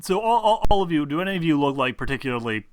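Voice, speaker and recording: A man's voice: on the high side, very animated, fast talker, a little hiss or hum. A man running a tabletop game as game master, casual and teasing, with plenty of swearing.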